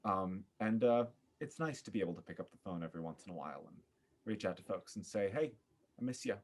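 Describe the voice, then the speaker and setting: Low-voiced man, earnest and thoughtful. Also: lively intonation. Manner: earnest, thoughtful, halting with repeated phrases. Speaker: guest, theater director. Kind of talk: reflective interview talk.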